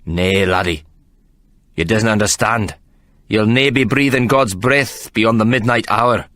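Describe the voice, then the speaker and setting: A man's voice: fairly low pitch, a little slow, pushed and bright, no echo. A man doing a growling Scottish brute. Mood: menacing. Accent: put-on Scottish. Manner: growling, threatening. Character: brutal scarred Scottish prize-fighter, growling, menacing.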